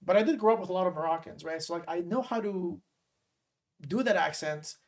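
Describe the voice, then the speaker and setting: A woman from Montreal hosts a casual podcast chat. Her voice sits low with a gravelly fry running through it, and she talks in an animated way, scattering fillers as she goes. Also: a little fast. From Montreal, Canada. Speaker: podcast host. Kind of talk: casual interview.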